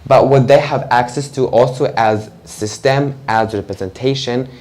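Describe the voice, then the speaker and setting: A young adult man from Georgia, speaking English as an as a guest on a live panel, candid and animated with many fillers. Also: medium pitch, normal speed. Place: event room with audience.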